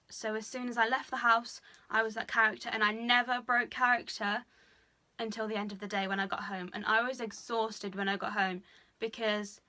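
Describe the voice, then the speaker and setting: A young woman talking frankly to camera in a personal vlog, chatty and full of fillers, speaking a little lower than she usually does. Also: pushed and bright. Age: young adult. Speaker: vlogger. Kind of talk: candid personal confession.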